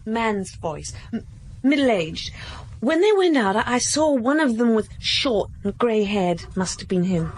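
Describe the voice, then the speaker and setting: An actress playing a tipsy young nightclub dancer who answers in halting, hesitant replies in a radio drama. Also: female voice, fairly high pitch, big pitch swings, slight background noise, low-quality recording. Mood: evasive.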